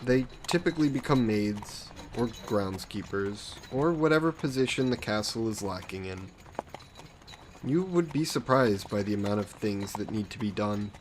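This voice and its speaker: A man's voice: on the low side, expressive, normal speed, a little hiss or hum, close to an everyday voice, rough recording. A man playing an aristocratic vampire lord in an audio roleplay, suave, smug and condescending, with a genteel, coaxing, possessive manner. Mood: coolly amused. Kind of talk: scripted roleplay audio drama monologue.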